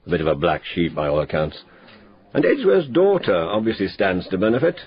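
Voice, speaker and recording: An actor playing a businesslike police inspector in a radio drama, with a matter-of-fact way of briefing. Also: male voice, sits low, very animated, brisk, husky, heavily acted. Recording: dull lo-fi radio drama audio.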